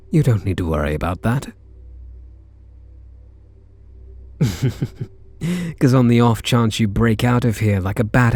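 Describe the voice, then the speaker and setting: A British man playing a charming, teasing kidnapper who is softening toward his captive, in an intimate ASMR roleplay. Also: on the low side, creaky, dry recording, full character voice. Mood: teasing, flirtatious. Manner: teasing, flirtatious, murmuring pet names.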